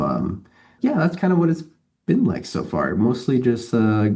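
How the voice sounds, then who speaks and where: A man with a very deep voice and a lot of vocal fry gives a casual interview. He speaks in a loose, rambling way, working out his thoughts as he goes. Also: darkish tone. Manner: rambling, thinking aloud. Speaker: interview guest, comic writer.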